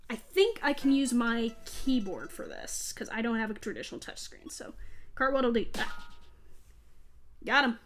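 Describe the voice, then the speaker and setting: A woman's voice: a little high, normal speed, fairly bright tone. A woman hosting a let's play, giving casual gameplay commentary in a chatty, self-deprecating way. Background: video game music.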